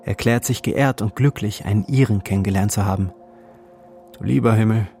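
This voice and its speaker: A man's voice: fairly low pitch, brisk, gravelly, over music. A man reading a literary travel diary as scripted narration, in clipped, terse phrases.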